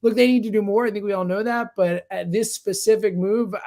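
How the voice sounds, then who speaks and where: A man's voice: high-pitched, steady tone, a little fast, darkish tone. A fired-up man, a sports radio guest, giving emphatic, conversational commentary.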